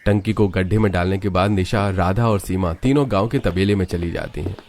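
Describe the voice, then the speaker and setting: A man's voice: sits low, fairly quick, a little mellow. A man narrating a scripted cartoon story as its storyteller.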